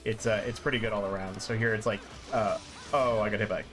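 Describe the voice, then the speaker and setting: A man's voice: fairly low pitch, steady tone, quick pace, fairly bright tone, faint music behind. A man giving casual, rambling live commentary as he plays a game, informal and self-deprecating. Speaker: streamer playing and explaining a game. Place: live stream with game music behind.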